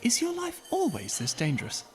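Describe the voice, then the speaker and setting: A man voicing an anxious young man who asks high, strained, incredulous questions. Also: huge pitch swings, normal speed, full character voice. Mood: nervous. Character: anxious tall young man, wide-eyed, nervous. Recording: faint music behind.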